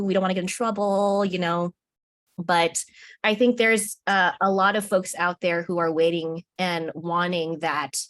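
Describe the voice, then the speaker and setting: A woman's voice: fairly low pitch, dry recording. An American woman talking as a guest in an interview, earnest and encouraging, laughing often as she speaks. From United States. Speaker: interview guest, contributing author. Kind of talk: casual interview conversation.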